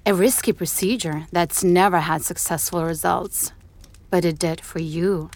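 Bold slave woman, low and creaky. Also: steady tone, unhurried, darkish tone, a little hiss or hum. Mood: intimate, persuasive. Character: bold, knowing enslaved woman, lover of a ruler. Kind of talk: fantasy audio drama acting.

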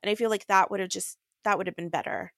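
A woman's voice: medium pitch, steady tone, fast, fairly bright tone, no room sound, clean studio sound. A woman co-hosting a podcast, chatting casually about books. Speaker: co-host of a book recap podcast. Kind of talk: casual book chat.

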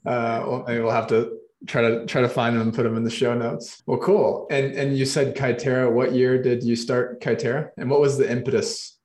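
A man hosting a podcast interview, relaxed and joking, with short affirmations. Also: mid-range voice, normal speed. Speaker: podcast host. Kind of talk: casual interview chat. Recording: roomy echo.

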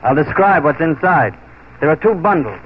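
An actor playing a grim, vengeful frontier marshal with a firm, clipped manner in a 1940s radio play. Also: male voice, a little high, sing-song, normal speed, a little hiss or hum. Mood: accusing. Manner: firm, clipped, cold resolve. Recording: muffled old radio recording.

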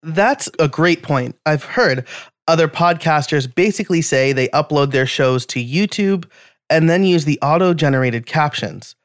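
A man's voice: a little high, expressive, normal speed, no room sound, clean studio sound. A man hosting a podcast, asking interview questions in a friendly, conversational way. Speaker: podcast host.

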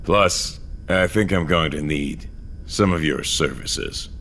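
A man acting a gruff, world-weary cyborg courier in a sci-fi audio drama, speaking in a terse, hard-boiled, deadpan way. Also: a bass voice, slow pace, a little hiss or hum, exaggerated voice. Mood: weary, dry.

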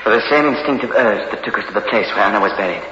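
Bright-voiced, fervent haunted man, old radio. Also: on the low side, rapid-fire. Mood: entranced. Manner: fervent, pleading. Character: distraught haunted man obsessed with a ghostly woman. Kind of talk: 1960s radio horror acting. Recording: dull vintage radio recording, faint hiss.

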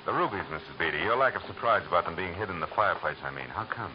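A man's voice: sits low, animated, very fast, muffled recording, noisy background. An actor playing a hard-boiled male private eye who talks in a dry, clipped, sardonic way, in 1940s radio acting from an old broadcast with music. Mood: wry.

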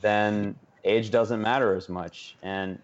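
A man's voice: lowish voice, steady tone, takes it slowly, dull audio. A man hosting a casual sports talk interview, easing into his questions in an informal, wordy way. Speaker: sports radio host, interviewer.

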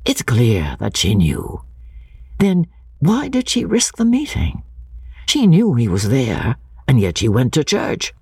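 A woman voicing an earnest, obstinate young man in love in an audiobook, speaking in hushed, fervent phrases. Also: a bass voice, huge pitch swings, takes it slowly, husky, dry recording, clean studio sound, exaggerated voice. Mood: puzzled. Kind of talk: dramatic audiobook character reading.

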